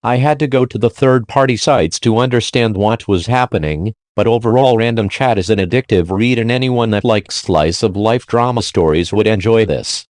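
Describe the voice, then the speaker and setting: A man with a fairly low voice narrates a scripted countdown review. The sound is dry and close, and his wording is informal and peppered with slang, as a video host's would be. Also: moderate pace, hardly any pauses, darkish tone, no echo.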